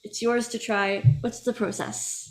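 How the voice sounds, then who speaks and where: Upbeat woman host chatting with musicians, slightly high voice, easy laughs. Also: level tone, a little slow, poor audio. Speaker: interviewer for a music organization. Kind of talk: casual video-call interview.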